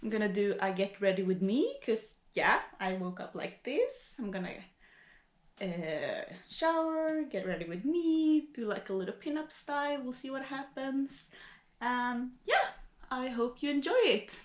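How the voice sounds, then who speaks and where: A woman's voice: very animated, unhurried. A young woman from Sweden, chatty and upbeat, vlogging casually into an action camera. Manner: chatty, upbeat, coos at her pet in a high baby voice. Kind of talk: casual vlog, talking to camera.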